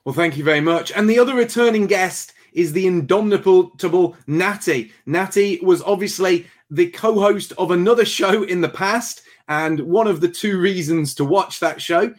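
A man's voice: high-pitched, moderate pace, few pauses. A man hosting a talk show, speaking emphatically and repeating words for stress. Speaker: show host.

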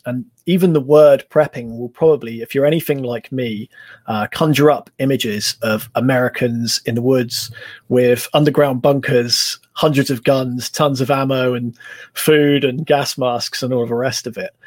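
A hoarse man, a talk show guest, speaking hesitantly and restarting phrases as he thinks. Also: medium pitch, no room sound. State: hoarse from shouting. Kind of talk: casual discussion.

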